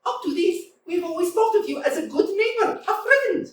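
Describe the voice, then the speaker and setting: A woman's voice: very high voice, animated, moderate pace. A woman voicing an indignant, proud young landowner in a staged reading of a stage comedy, with an emphatic, argumentative delivery. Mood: furious. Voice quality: emphatic, argumentative, sing-song outbursts. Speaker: community theater actor. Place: small community theater. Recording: echoey room, from far off, faint noise.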